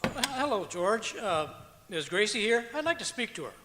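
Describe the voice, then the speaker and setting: A man's voice: high voice, sing-song, moderate pace, background noise. A man playing a fussy, indecisive, earnest neighbor husband in old-time radio comedy style. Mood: flustered, indecisive. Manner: dithering, earnest. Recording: echoey auditorium stage performance.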